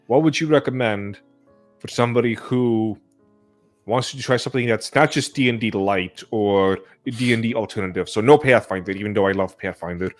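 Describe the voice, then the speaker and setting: A man's voice: lowish voice, normal speed, faint music behind. A man hosting a casual tabletop gaming discussion, putting questions to the other speakers. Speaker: host of a discussion. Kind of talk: casual panel talk about tabletop games.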